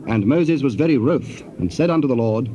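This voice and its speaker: A male narrator reads scripture as drama, formal and measured. His voice is dark and mellow, on a slightly dull recording with faint hiss. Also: medium pitch, expressive. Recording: muffled, faintly hissy tape.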